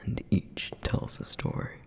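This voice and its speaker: A man voicing a dutiful, protective male flame demon in an ASMR roleplay, with a soft whispered and wistful, reflective delivery. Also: a little slow, close to an everyday voice.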